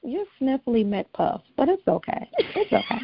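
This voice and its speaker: A woman's voice: sits high, big pitch swings, measured pace, creaky, highs cut off, slight background noise. A woman co-hosting a podcast, chatting casually with a playful, teasing manner and plenty of short affirmations. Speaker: podcast co-host. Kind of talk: casual chat.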